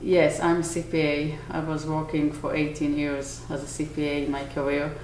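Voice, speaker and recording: A woman in her forties from Israel with an Israeli accent, a bakery owner answering interview questions earnestly, pausing to search for words. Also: low voice, flat delivery, darkish tone. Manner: earnest, with small restarts and searching pauses. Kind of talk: on-camera interview. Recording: echoey room, faint noise.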